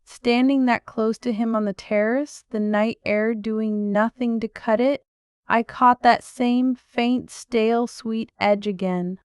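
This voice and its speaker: A woman narrating a first-person story in a measured, reflective way. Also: on the high side, fairly even intonation, clean tone, no echo, clean studio sound.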